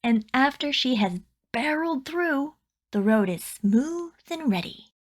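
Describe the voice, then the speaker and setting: A woman reading a rhyming children's picture book aloud with deliberate, expressive storytelling phrasing. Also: sits high.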